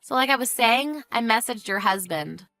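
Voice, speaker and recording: A woman's voice: a little high, expressive, normal speed, sharp tone, faint music behind. A woman voicing a fed-up, sarcastic wife with a cutting, smug manner in a narrated family drama. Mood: fed-up, firm. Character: fed-up, sarcastic young wife taunting her in-law.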